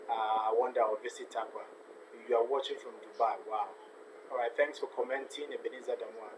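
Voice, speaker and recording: A man's voice: mid-range voice, steady tone, fairly quick, a little hiss or hum, poor audio. Chatty man hosting a football watch-along. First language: not a native Ghanaian; speaks with a foreign accent. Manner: chatty, repeats phrases, reads viewer comments aloud. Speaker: live stream host.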